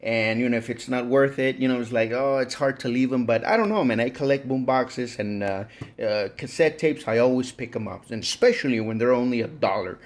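A man's voice: medium pitch, a little fast. A man hosting a casual reseller vlog, rambling in a folksy way and addressing viewers as guys. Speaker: online reseller and channel host. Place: indoor workshop.

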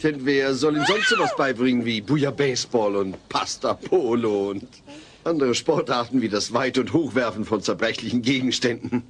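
A man acts a gentle middle-aged family man in a sitcom radio play. He is earnest and patient, with lively, varied intonation and faint ambience behind him. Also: medium pitch, moderate pace, background noise, natural voice. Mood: encouraging. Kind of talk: German sitcom audio drama.

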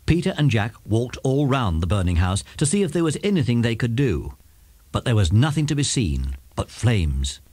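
Animated English man narrating, slightly husky. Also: mid-range voice, moderate pace. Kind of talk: scripted children's story narration.

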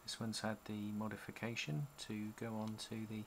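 A man giving a casual, halting walkthrough as a hobbyist showing off his gear. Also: on the low side, fairly even intonation. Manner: halting, pausing between short phrases. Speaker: CB radio hobbyist presenting a product demo. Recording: home camcorder with noticeable background noise.

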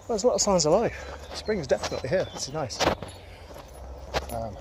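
A man's voice: a little high, unhurried. A man vlogging casually as he walks through woods, filming on a handheld phone and thinking aloud. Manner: rambling, relaxed, thinking aloud. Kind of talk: casual walking commentary. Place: woodland outdoors. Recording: outdoor phone audio with loud noise. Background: traffic noise.

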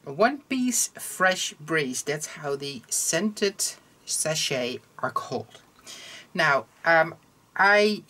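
A Dutch woman with a Dutch accent hosting a crochet tutorial in English, her second language, talking casually and pausing often with ums as she searches for words. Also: low-pitched, fairly bright tone. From Netherlands. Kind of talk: casual instructional talk. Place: indoors at a table, hands over crafts.

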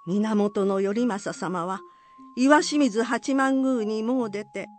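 A woman narrating an old folk legend in a formal, polite and expressive storytelling manner. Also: fairly high pitch, takes it slowly, soft background music.

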